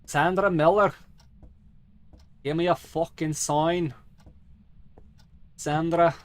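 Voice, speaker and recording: A man's voice: on the high side, fairly even intonation, takes it slowly, dry recording. A man giving rambling, sweary, theatrical video game commentary in a put-on mock dwarf accent. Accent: put-on mock accent he calls a dwarf accent. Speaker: game streamer.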